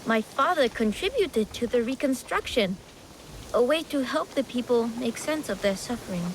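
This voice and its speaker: High-voiced, dutiful young lord's son. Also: male voice, animated, normal speed, slight background noise, poor audio. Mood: polite, wistful. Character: dutiful, earnest young son of a feudal lord. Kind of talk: video game cutscene acting.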